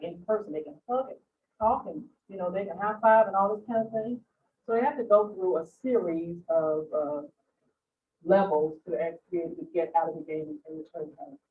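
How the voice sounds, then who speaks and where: A woman leadership coach giving a conversational, earnest public talk in a museum lecture space. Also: on the low side. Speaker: guest speaker, leadership coach. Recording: phone-like sound from far off.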